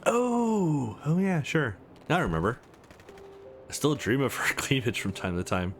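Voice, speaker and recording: A man's voice: mid-range voice, expressive, a little slow, slight background noise. A man voicing a laid-back, crude gamer brother from a visual novel, slangy and joking. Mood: cheeky.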